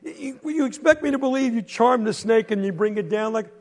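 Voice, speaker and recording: Guy putting on a loud jeering store loafer, high pitched and scratchy, echoey hall. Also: measured pace. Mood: excited. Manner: mocking, shouting. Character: brash loud one-legged man, store loafer.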